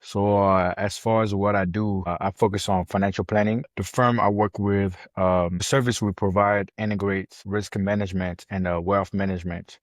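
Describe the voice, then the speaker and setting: A man talks as a guest expert in an interview. His voice is low and stays fairly flat in pitch, recorded dry. He speaks conversationally, sometimes repeating words as he finds his phrasing. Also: normal speed, few pauses, no room sound. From France. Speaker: podcast guest, financial planner.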